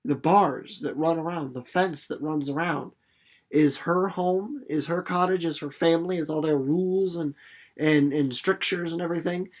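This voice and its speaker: A man's voice: a little high, fairly even intonation, normal speed. Informal male teacher thinking aloud. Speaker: reader and teacher. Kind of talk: storybook narration and casual literary commentary for students.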